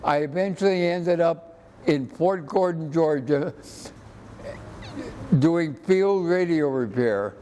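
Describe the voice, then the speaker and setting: An elderly American man in his eighties, an interview guest speaking earnestly and haltingly, often repeating himself. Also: on the high side, dark tone. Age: 80s. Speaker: interview guest, army veteran. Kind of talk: personal reminiscence in an interview. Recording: echoey hall with background noise.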